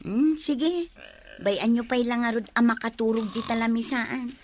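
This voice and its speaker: An actress playing a polite young woman serving drinks in a radio comedy, coaxing and unhurried. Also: fairly high pitch, a little hiss or hum, natural voice. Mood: gentle. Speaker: bar server. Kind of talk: radio comedy drama acting.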